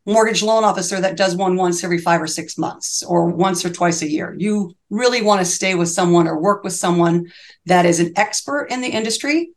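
A woman's voice: lowish voice, brisk. Earnest, fervent woman in her sixties. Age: 60s. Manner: earnest and fervent, long run-on sentences with restarts. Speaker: reverse mortgage specialist, podcast guest. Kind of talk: interview conversation.

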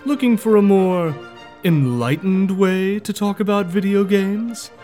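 A man reading a scripted podcast promo in a mock-highbrow, pompous manner. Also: unhurried, warm tone. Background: music.

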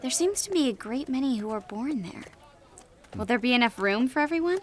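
A woman's voice: high-pitched, animated, brisk, a little hiss or hum. A woman acting a humble, wondering young wife-to-be in an audio drama. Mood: curious.

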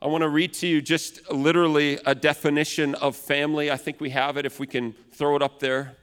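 A man in his thirties preaching a sermon in a loud, big voice, now and then acting out complaining customers. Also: on the high side, little pitch movement, a little fast, clean tone. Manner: acts out complaining customers in raised voice. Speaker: pastor. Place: large church hall. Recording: long hall reverb.

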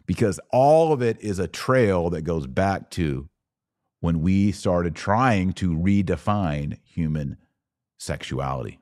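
Male podcast host, low voice, dry close sound, emphatic and preachy religious commentary. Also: darkish tone, no echo. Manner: emphatic, preachy, with rhetorical questions. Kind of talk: live religious commentary rant, reading audience comments.

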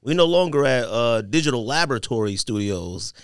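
A man hosting a podcast, bantering casually with plenty of slang and emphatic drawn-out words. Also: mid-range voice, lively intonation, clear, resonant tone, no room sound, studio quality. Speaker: podcast host. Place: podcast studio.

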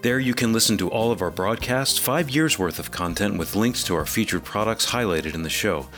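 An American man with a lowish voice works as a radio announcer. He reads scripted introductions and news copy, with faint music underneath. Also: animated, fairly quick, a bit bright.

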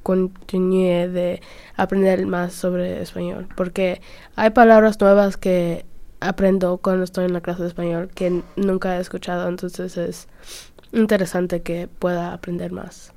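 A woman's voice: fairly low pitch, flat delivery, measured pace, creaky, mellow. A teenage girl, a student, talking casually in a group discussion and steering the conversation with questions. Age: teens. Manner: chatty, leads the talk, asks others questions.

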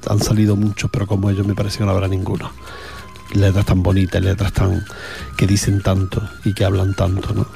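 A man's voice: deep voice, monotone, a little fast, vocal fry, mellow, soft background music. A man with an Andalusian accent, chatting casually as a radio host between songs, rambling with fillers. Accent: Andalusian Spanish. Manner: rambling, chatty, with frequent fillers and repeated words.

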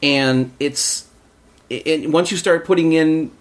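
A man chatting casually in a group discussion, haltingly, often repeating his phrases. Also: on the high side. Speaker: voice actor on a round-table.

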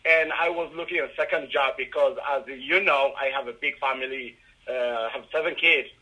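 A middle-aged man from Ethiopia with an Ethiopian accent, answering interview questions haltingly with many fillers and restarts. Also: medium pitch, fairly even intonation, pushed and bright, rough recording. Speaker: guest, rideshare driver.

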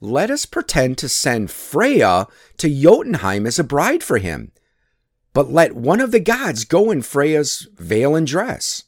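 A man's voice: on the high side, huge pitch swings, slow pace, dry recording, clean studio sound, pitched above the performer's own voice, exaggerated voice. A man voicing a cunning, mocking trickster god from a myth, teasing and coaxing as he schemes. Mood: scheming. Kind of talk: dramatic myth storytelling.

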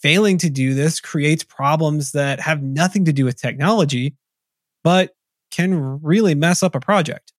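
A man from Tennessee co-hosting a casual podcast, reading out discussion points in a relaxed, agreeable way. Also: fairly high pitch, unhurried, dry recording, clean studio sound. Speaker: podcast co-host. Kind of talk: casual chat, reading list items.

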